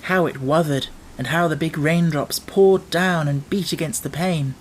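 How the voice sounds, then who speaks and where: A man reading an audiobook as narrator, telling the story in a measured, expressive way. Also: fairly high pitch, slight background noise, poor audio.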